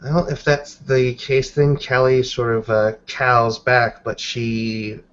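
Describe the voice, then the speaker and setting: Male tabletop player, unhurried halting narration, muffled lo-fi recording. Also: medium pitch, flat delivery, fairly bright tone, clean tone. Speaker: roleplaying game player. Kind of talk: improvised tabletop roleplay narration.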